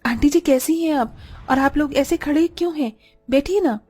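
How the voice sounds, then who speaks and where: Lady playing a kind young eldest sister in a cartoon, soft n polite, high warm voice, bit of music behind. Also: normal speed, lightly acted. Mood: welcoming. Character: gentle young woman, poor, caring eldest daughter who cooks. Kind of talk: Hindi cartoon story dialogue.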